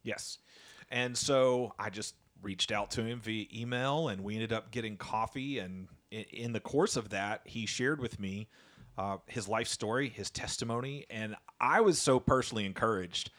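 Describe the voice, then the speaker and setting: A man's voice: fairly low pitch, moderate pace, a bit bright. A man hosting a church podcast, introducing his guest in a friendly, rambling way. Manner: friendly, rambling, many fillers and restarts. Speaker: podcast host. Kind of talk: casual interview chat.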